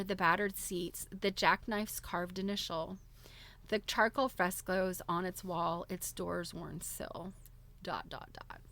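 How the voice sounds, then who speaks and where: A woman hosting a live book reading, reading aloud with expressive, giggly, chatty asides. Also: medium pitch. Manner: giggly, reacts expressively to what is read.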